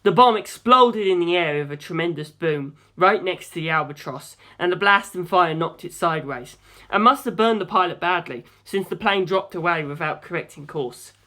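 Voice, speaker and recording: A man's voice: high voice, normal speed, sharp tone. A man reading a first-person story aloud as an audiobook narrator.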